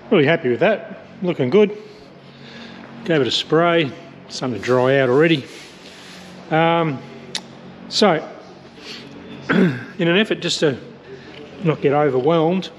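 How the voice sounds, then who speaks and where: A man casually talking through his aircraft build as he works, thinking aloud with plenty of hesitations. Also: medium pitch. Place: large metal hangar workshop. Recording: echoey hangar, faint background noise.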